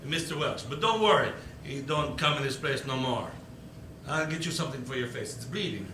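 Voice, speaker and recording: A man's voice: animated, brisk, pushed and bright. A man playing a kind, worried bar owner who pleads in broken grammar in a live radio play. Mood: worried, pleading. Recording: roomy live stage, noisy rumble.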